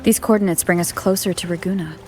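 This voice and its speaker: Low-voiced, serious young heroine played by an actress. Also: female voice, fairly even intonation, fast talker, a little mellow, faint music behind, natural voice. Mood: serious, pensive. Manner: terse, matter-of-fact, serious. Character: cool, guarded, resolute young female adventurer. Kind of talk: video game voice acting.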